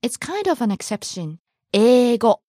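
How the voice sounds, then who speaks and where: A woman from Japan, speaking, leads a podcast language lesson. Her somewhat high voice moves in lively leaps of pitch as she gives example phrases. The sound is close and dry, with no room around it. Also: unhurried, dry recording. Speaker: Japanese teacher.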